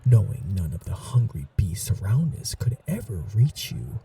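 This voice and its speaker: A man voicing an ancient royal vampire lord in an ASMR roleplay, suave and flirtatious, speaking slowly with low breathy whispers. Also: very animated, far from the mic, heavily acted. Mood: seductive. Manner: slow, seductive, softly whispering.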